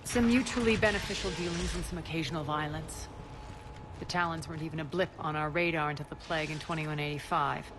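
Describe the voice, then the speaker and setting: A woman's voice: sits low, fast, background noise, lightly acted. A woman playing a ruthless, imperious alien crime queen in a video game, speaking in a haughty, sardonic, commanding way.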